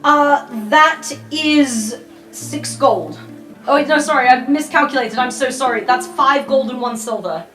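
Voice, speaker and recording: A woman with a high voice plays a tabletop roleplaying game, casually describing and improvising. The room adds noticeable echo, with faint music underneath. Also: a little slow, a bit bright, distant mic. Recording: roomy sound with faint music.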